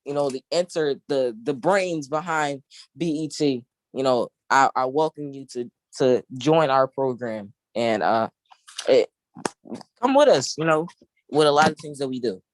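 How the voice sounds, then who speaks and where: A young man, president of a youth council, speaking casually on a video call in an easygoing, halting way. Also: mid-range voice, lively intonation, dry recording. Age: young adult. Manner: easygoing, halting, with many fillers and restarts.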